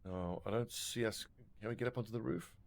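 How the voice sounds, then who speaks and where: A man's voice: fairly low pitch, brisk, gravelly, a little hiss or hum. A man casually commentating his own strategy game play, thinking aloud and swearing now and then. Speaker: gaming video host.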